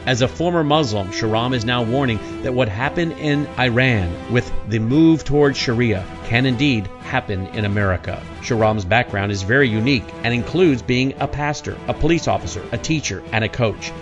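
A man reading a scripted broadcast introduction in a smooth, formal announcer style. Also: medium pitch, moderate pace, hardly any pauses. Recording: dull lo-fi audio over music. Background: music.

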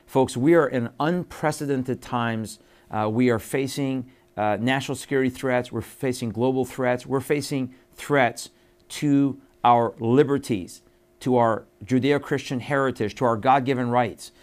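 A man's voice: mid-range voice, steady tone, measured pace. A middle-aged man from Iran hosting his own show, talking earnestly and emphatically to the viewer with many fillers and repeated phrases. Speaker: host. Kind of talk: earnest monologue to camera.

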